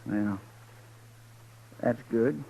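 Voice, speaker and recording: An actor playing a simple, eager frontier sidekick with a stammering, deferential drawl, in a 1950s radio drama. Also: male voice, medium pitch, drawn-out words, creaky, dark tone. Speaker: deputy's sidekick. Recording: dull old radio recording with faint hiss.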